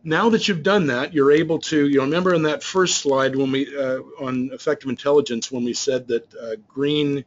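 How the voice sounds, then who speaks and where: A man's voice: medium pitch, moderate pace, hardly any pauses. Guy doing a training webinar, mid voice, rambles and restarts a lot, kinda dull recording. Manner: loose, rambling explanation full of restarts and fillers. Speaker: business trainer. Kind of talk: explaining slides in a recorded webinar.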